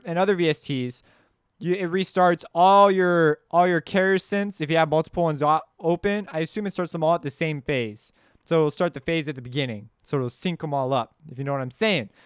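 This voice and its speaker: A man giving a casual, off-the-cuff software walkthrough as a tutorial host, informal and slangy, rambling as he goes. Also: a little high, clear, resonant tone, no room sound, clean studio sound.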